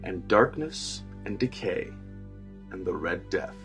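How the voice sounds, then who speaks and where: A man giving a dramatic, measured and formal scripted narration of a gothic horror story. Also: deep voice, big pitch swings. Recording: music bed under narration. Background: background music.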